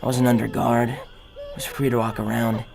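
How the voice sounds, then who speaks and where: An actor playing a haunted, war-weary army captain, narrating gravely in the first person in a radio drama parody. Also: male voice, medium pitch, level tone, normal speed, natural voice. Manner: grave, hard-boiled.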